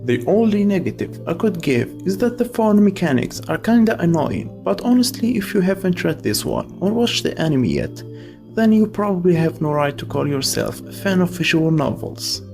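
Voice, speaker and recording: A man with a fairly high, creaky voice narrates a scripted video essay. He slips in casual asides as host, with faint music underneath. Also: big pitch swings, normal speed, darkish tone.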